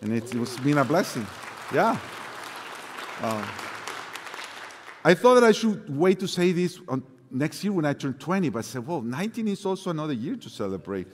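A man with a Mexican accent, serving as guest preacher, reading scripture aloud in a lively voice and then speaking to the congregation with some hesitant fillers. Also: on the high side, moderate pace, long pauses, a faint crowd. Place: large church sanctuary. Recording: long hall reverb.

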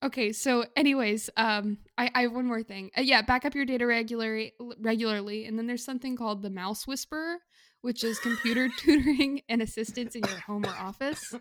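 Female podcast host, slightly high voice, casual comedic conversation. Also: fairly quick, fairly bright tone.

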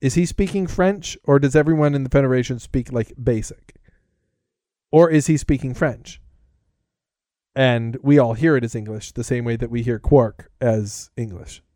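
A man co-hosting a casual chat about science-fiction shows, joking and animated, often restarting his sentences. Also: medium pitch, moderate pace, no room sound, clean studio sound.

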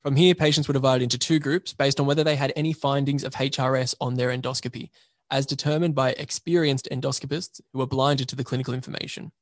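A man, an emergency registrar, presenting a journal paper by reading a prepared summary in a measured, methodical way. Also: mid-range voice, steady tone, no echo, studio quality.

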